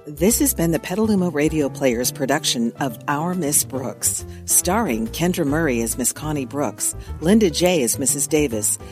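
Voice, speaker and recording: A woman's voice: deep voice, expressive, moderate pace, hardly any pauses, a little mellow. Woman announcer over prominent music. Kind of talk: scripted closing credits.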